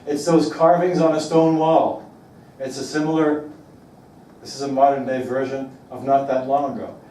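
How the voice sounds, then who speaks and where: A man's voice: mid-range voice, flat delivery, mellow, slight background noise. Belgian man presenting in an echoey room. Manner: rhetorical questions, emphatic slowed stresses. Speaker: speaker giving a talk. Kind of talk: presentation to an audience. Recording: roomy echo from far off, faint ambience.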